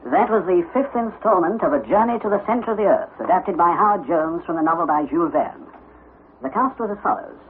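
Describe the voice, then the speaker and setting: A man's voice: sits high, animated, a little fast. A man reading scripted credits as a radio drama announcer. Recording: phone-like vintage radio, faint noise.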